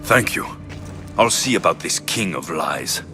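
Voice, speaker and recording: A resolute male mercenary from an ancient-world game. His voice sits fairly low and moves expressively, earnest and determined. Music swells clearly behind him. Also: lively intonation, measured pace. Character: resolute, earnest Spartan mercenary man, adult.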